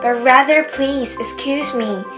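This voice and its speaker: High-voiced mischievous fairy trickster, played by a woman. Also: unhurried, over music. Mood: apologetic. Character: mischievous fairy trickster setting things right. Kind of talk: amateur radio drama acting.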